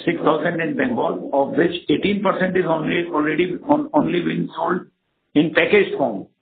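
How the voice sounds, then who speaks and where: A man with an Indian accent answering as a company executive on an earnings call, often rephrasing in a halting way. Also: fairly high pitch, vocal fry. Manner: halting, repeats and rephrases words. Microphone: conference phone call. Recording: narrow tinny phone line.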